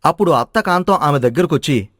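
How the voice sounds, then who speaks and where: A man's voice: fairly high pitch, lively intonation, fast, fairly bright tone, no room sound, studio quality. A man narrating a scripted moral story.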